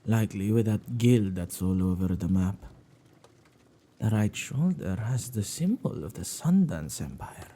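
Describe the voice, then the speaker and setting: A man playing a playful, possessive male witch in an ASMR roleplay, with a husky, dark voice and a teasing, theatrical, musing manner. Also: lowish voice, expressive, measured pace.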